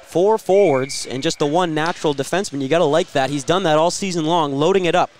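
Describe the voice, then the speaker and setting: A man doing live radio play-by-play of ice hockey, describing each move and surging with excitement on big plays. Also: a little high, slight background noise. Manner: rapid-fire descriptive calls with excited surges at big plays.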